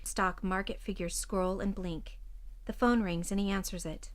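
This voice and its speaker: Measured woman narrating an audio drama. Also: medium pitch, fairly even intonation. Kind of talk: scripted audio drama narration in present tense.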